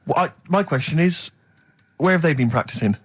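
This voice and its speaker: A man with a slightly high voice, recorded close and dry. He talks quickly in casual comic chat, posing mock-earnest questions as a radio co-host. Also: expressive, slightly rough, dry recording. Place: radio studio.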